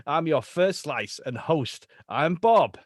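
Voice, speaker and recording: A man's voice: on the high side, animated, slow, dry recording, clean studio sound. A man with a northern English accent hosting a podcast in casual, jokey chat, laughing often and swearing freely. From Northern England. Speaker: podcast host.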